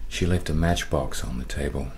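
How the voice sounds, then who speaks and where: A man narrating a radio play as a middle-aged man looking back, slow and measured, with music behind him. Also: extremely low pitch, noisy background. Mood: reflective. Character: grown man looking back, brooding and reflective. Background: music score.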